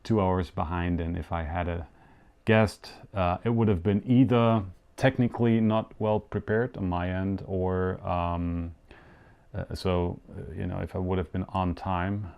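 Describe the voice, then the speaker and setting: A man's voice: low voice, fairly even intonation, a little slow, creaky, clean tone, muffled recording. A young man whose first language is German hosts a live show in casual, hesitant talk with many fillers and restarts. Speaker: show host. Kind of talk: casual live-stream hosting.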